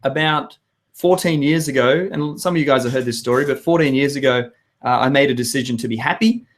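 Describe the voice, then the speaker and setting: An Australian man in his thirties with a hoarse voice, hosting a live web show and chatting with viewers in an upbeat, rambling way. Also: mid-range voice, a little fast. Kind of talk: live webinar chat to viewers.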